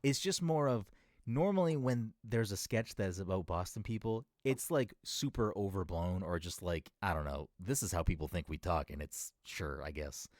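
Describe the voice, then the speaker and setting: A man's voice: sits low, normal speed, darkish tone, no echo, clean studio sound. A young man from Massachusetts without much of a regional accent, chatting on a podcast, rambling through tangents with careful enunciation. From Massachusetts, USA. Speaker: podcast co-host. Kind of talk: casual chat.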